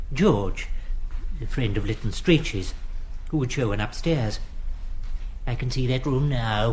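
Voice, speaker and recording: An actor playing a pompous, wistful literary memoirist, speaking with solemn, mannered deadpan in a theatrical comic monologue. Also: male voice, medium pitch, natural voice.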